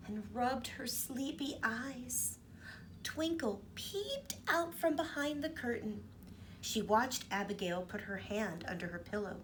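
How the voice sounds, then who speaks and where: Slow, lively woman reading a storybook. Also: fairly high pitch, far from the mic, slight background noise. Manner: expressive, asks the children questions. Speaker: teacher reading to children. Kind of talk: storybook read-aloud for kids.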